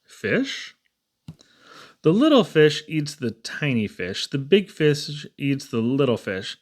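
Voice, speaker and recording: A man's voice: on the high side, very animated, takes it slowly. A man reading humorous children's poems aloud in a theatrical, playful storytelling manner. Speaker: poetry reader.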